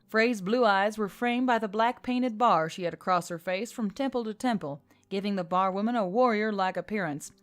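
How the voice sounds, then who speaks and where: A woman reading a scripted fantasy story as an audiobook narrator. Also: mid-range voice. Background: music.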